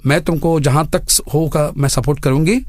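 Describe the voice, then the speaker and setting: A man in his fifties from India tells his own story as a studio interview guest, earnestly and in deliberate phrases with English words mixed in. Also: on the high side, steady tone, darkish tone, no echo. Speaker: interview guest, bank general manager. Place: radio studio.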